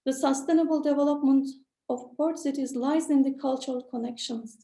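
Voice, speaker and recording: High, gravelly-edged woman reading formally. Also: steady tone, brisk, mellow, roomy sound. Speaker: conference presenter. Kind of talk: read academic presentation.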